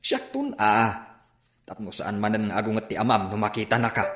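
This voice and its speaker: Reasonable uncle, lowish reassuring voice, echoey radio drama. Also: male voice, big pitch swings, moderate pace, fairly bright tone.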